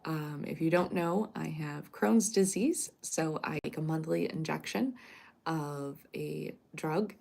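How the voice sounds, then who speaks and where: A woman from Canada, chatting casually on a live stream. Her voice is raspy and congested from a cold, and she rambles and corrects herself as she goes. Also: sits low, slow, a little mellow.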